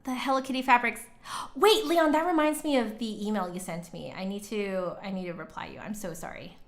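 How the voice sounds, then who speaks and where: A woman's voice: medium pitch, a little fast. Chatty woman hosting a casual livestream. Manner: giggly, chatty, rambling asides. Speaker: livestream host, musician. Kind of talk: casual livestream chat, replying to viewers.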